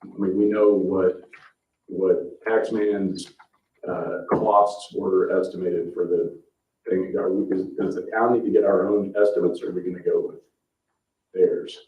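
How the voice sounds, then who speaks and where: A man's voice: sits low, little pitch movement, unhurried. A man chairing a public meeting, speaking in a loose but businesslike way through agenda items. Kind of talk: formal public meeting talk, loosely spoken. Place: indoor public meeting room. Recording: distant microphone, echoey room, slightly muffled.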